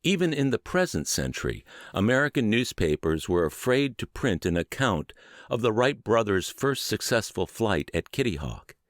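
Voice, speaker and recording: A man narrates an audiobook in a lowish voice that rises and falls expressively. His phrasing is deliberate and emphatic, as he reads from a prepared text. The sound is dry, with no audible room. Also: big pitch swings, no echo. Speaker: audiobook narrator and podcast host. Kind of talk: scripted audiobook narration of an old book.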